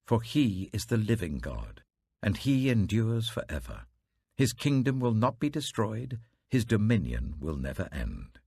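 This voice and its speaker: A man's voice: on the low side, huge pitch swings, unhurried, vocal fry, darkish tone, husky, no echo, voice pushed up, heavily acted. A man voicing an earnest, devout ancient king with grand, emphatic declamation in a scripture story. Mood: reverent, proclaiming.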